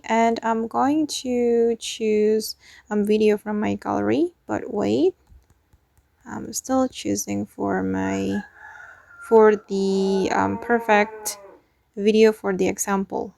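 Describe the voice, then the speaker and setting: A woman hosting a tutorial, narrating step by step with hesitant, drawn-out pauses. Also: mid-range voice, fairly even intonation, vocal fry, mellow, no echo. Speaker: tutorial host.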